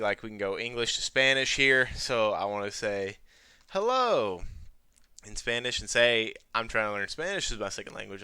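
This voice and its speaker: Guy doing a chatty casual tutorial, clear crisp voice, really dry sound, says you know a lot. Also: on the low side, pushed and bright, no echo. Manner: informal, conversational, peppered with "you know". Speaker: presenter of an educational video on teaching ESL. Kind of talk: casual tutorial talk over a screen demonstration.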